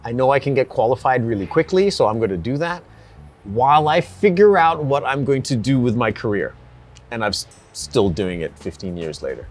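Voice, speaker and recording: A forty-year-old man from Hong Kong, a personal trainer, chatting in an energetic, emphatic way. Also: mid-range voice, brisk, faint music behind. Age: 40s. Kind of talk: casual chat.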